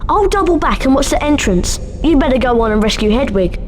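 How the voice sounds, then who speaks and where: A woman's voice: high-pitched, expressive, a little fast, in a large hall, a little hiss or hum. A woman voicing an eager, chummy young schoolboy wizard in a video game. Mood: encouraging.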